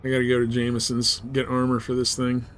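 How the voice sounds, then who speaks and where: A man's voice: medium pitch, monotone, quick pace, fairly bright tone. A man chatting informally and colloquially with viewers while he plays a game on a livestream. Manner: laid-back, informal, colloquial. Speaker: live game streamer. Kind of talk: casual gameplay chat with stream viewers.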